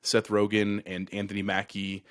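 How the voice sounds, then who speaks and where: A man's voice: lowish voice, completely flat delivery, moderate pace, a bit bright. A man co-hosting a casual gaming podcast, talking in an easygoing, conversational way. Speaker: podcast co-host.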